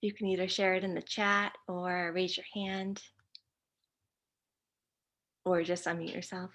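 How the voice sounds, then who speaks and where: A woman's voice: fairly low pitch, little pitch movement, unhurried, fairly bright tone. A woman who stutters openly, moderating a friendly video call and reading out chat messages with frequent laughter. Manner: stutters with repeated sounds, upbeat and laughing often.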